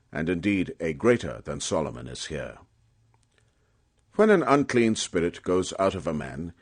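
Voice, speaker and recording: A man narrating scripture as a dramatic audiobook reading, with a solemn, emphatic and measured oratorical delivery. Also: fairly low pitch.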